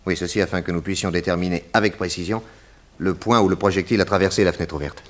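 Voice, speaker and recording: A man playing a shrewd, courteous private detective in a radio serial, speaking in a deliberate, inquisitive, polite manner. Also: sits low, fairly even intonation, fairly bright tone. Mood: courteous, directive. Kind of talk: old French radio detective serial acting.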